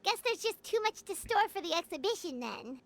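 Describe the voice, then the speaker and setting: This is a tiny, curious girl companion from a video game. Her voice is squeaky and extremely high. She fires off quick, inquisitive remarks. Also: very animated, fairly bright tone, slight background noise, heavily acted.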